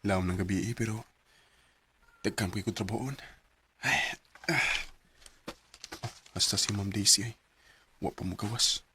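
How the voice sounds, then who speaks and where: A man's voice: lowish voice, steady tone, a little slow, pauses often, sharp tone, a little hiss or hum. A man acting in a Bisaya radio drama as an earnest, meek, hardworking office employee, with a hesitant manner and a Filipino Bisaya accent. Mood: pensive, concerned.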